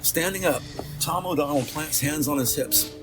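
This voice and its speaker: High-pitched male audio drama narrator over music. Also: normal speed. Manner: descriptive, theatrical storytelling. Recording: music behind the voice.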